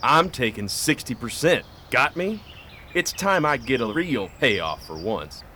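A man's voice: mid-range voice, big pitch swings, a little slow, bright, forceful tone. An actor playing a greedy, defiant outlaw henchman in a western radio drama, belligerent and demanding. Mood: defiant, threatening. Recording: outdoor nature ambience behind, faint noise.

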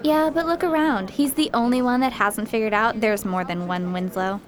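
A scheming college ringleader in a radio play, acted by a woman. Her voice is fairly high, breezy and sly, with an easy habit of bossing her friends. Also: moderate pace, natural voice. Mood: sly, confident. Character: confident, scheming female college student ringleader. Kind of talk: audio drama acting.

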